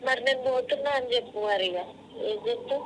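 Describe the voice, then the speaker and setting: A woman's voice: a little high, quick pace, noisy background. A woman with a Telangana Telugu accent chatting casually on a phone call played over a speaker. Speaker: guest's wife on a phone call.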